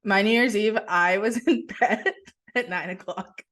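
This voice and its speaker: A young woman co-hosting a casual podcast from her kitchen over a video call, talking in a relaxed, rambling way with many "like"s and restarts. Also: medium pitch, unhurried. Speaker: podcast co-host. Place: kitchen at home.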